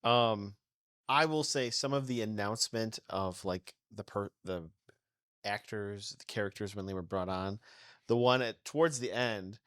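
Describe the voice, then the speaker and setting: A man's voice: on the low side, a little slow, a bit bright, no echo, studio quality. A man chatting casually as a panelist on a podcast. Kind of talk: casual chat.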